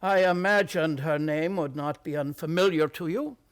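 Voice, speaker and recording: Radio drama actor as incognito European monarch: high male voice, formal, grandiose, secretive. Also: normal speed, clean tone, natural voice. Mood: earnest. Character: proud, formal, secretive Bohemian king in disguise. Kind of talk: radio play acting.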